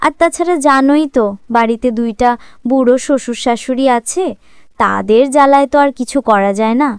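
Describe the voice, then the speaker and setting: Woman acting a clear, high-voiced young cartoon wife. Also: fairly quick, dry recording, clean studio sound. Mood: complaining. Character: young wife and mother, coaxing, easily aggrieved. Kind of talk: cartoon drama dialogue.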